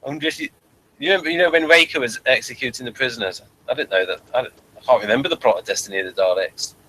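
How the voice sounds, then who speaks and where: A man's voice: medium pitch, fast talker, bright, forceful tone, a little hiss or hum, low-quality recording. A man hosting a casual review chat, rambling and repeating phrases as he thinks, sometimes reading from notes. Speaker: review show host.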